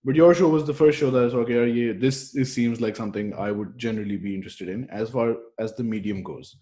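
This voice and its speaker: A man's voice: medium pitch, fast, dark tone. A man hosting a podcast over a video call, asking thoughtful, searching questions in mixed English and Urdu. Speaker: podcast host. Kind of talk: casual video-call interview. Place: room at home, indoors. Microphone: headset on a video call.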